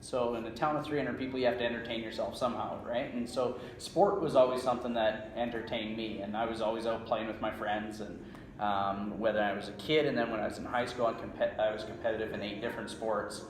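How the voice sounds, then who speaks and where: A man's voice: lowish voice, fairly even intonation, brisk, background noise. Canadian man explaining in an echoey room. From small-town Saskatchewan, Canada. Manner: checks in often with "right?". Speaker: strength coach, interview guest. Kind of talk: conversational explanation and storytelling. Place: indoor room at a conference. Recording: roomy echo, faint background ambience.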